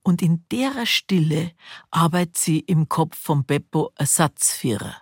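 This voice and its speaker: A woman's voice: sits low, lively intonation, measured pace, breathy edge, dry recording, clean studio sound, exaggerated voice. A woman playing an intimate, earnest storyteller with a Bavarian accent, narrating a drama. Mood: hushed, intense.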